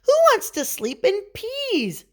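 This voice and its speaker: A woman voicing an excitable little kitten boy with theatrical exclamations and a put-on Spanish accent, in a storybook. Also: very high voice, takes it slowly, creaky, voice pushed up, heavily acted. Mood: eager, surprised.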